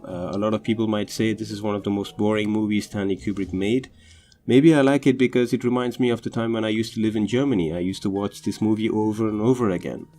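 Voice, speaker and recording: A man's voice: lowish voice, mellow. A man whose first language is Tamil hosts a film podcast, talking unscripted with many fillers and restarts. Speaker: film analysis podcast host. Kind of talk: casual unscripted movie commentary. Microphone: home recording over drawings.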